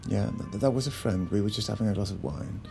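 Creaky, awkward estranged husband. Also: male voice, big pitch swings, fast, a little mellow, soft background music. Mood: evasive, sheepish. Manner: stammering, evasive, low-key. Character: awkward, caught-off-guard separated husband. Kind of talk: naturalistic audio drama acting.

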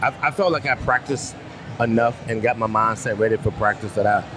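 A man's voice: fairly low pitch, brisk. A man, a former player co-hosting, talks casually about game mindset and often repeats himself when he starts a thought. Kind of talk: casual sports chat. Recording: noisy postgame stadium setting.

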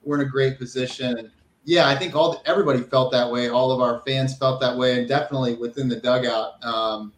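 A man's voice: medium pitch, fairly even intonation, fast talker. A man in his forties, a former ballplayer, chatting casually as an interview guest and reminiscing. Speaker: former college baseball player, interview guest. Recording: roomy, echoing sound.

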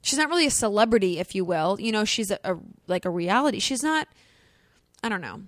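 A woman's voice: medium pitch, brisk, dry recording, clean studio sound. A woman co-hosting a podcast, chatting and gossiping casually about celebrity news. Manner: chatty, gossipy, animated asides. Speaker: podcast co-host. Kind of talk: casual chat.

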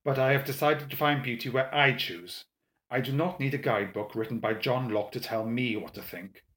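Man performing young English gentleman, arrogant, theatrically scornful, mischievous, mocking drawl, stretched emphatic words, audiobook character. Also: mid-range voice, normal speed, reverberant, lightly acted. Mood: haughty, defiant.

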